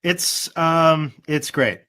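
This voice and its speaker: A man speaking as a guest casting director, giving conversational advice in a halting, careful way. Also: fairly high pitch, bright, forceful tone.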